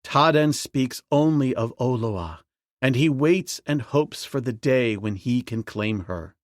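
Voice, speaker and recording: A man's voice: mid-range voice, animated, dry recording, studio quality. Man reading as a grave jungle hero. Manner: measured, grave.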